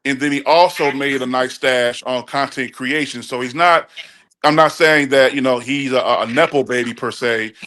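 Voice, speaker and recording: A man in his mid-forties from Chicago gives his opinions in a blunt, emphatic, casual way. He often stumbles and restarts mid-sentence. His voice sits at an ordinary middle pitch. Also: moderate pace, sharp tone. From Chicago, USA. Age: 40s. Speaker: guest caller on a talk show.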